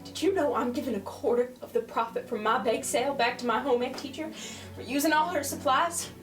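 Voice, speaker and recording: High-voiced, scolding teenage sister played by a woman. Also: fairly quick, natural voice. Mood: despairing. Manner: sharp, sarcastic, scolding. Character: embittered, overburdened teenage eldest sister in wartime West Virginia. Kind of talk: holiday radio play acting. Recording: echoey room, distant mic, background music, noise.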